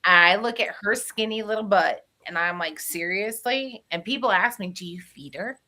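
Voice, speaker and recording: A woman's voice: mid-range voice, moderate pace, pushed and bright. A woman co-hosting a casual live chat, talking in a chatty, looping way with frequent restarts. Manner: chatty, restarts often, laughs between lines. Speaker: co-host.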